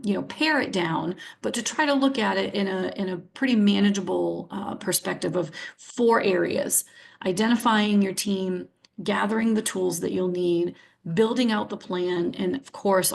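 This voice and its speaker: A woman's voice: medium pitch, gravelly. Scratchy-voiced woman presenting a webinar. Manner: upbeat and encouraging, with frequent fillers. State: scratchy voice from sinus trouble. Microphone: video call.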